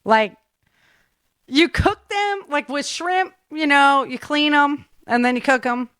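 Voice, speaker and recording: An American woman from the Great Lakes region hosting a casual chat in an animated, expressive way with slangy exclamations. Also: high voice, takes it slowly, dry recording, studio quality. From Great Lakes region, United States. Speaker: host.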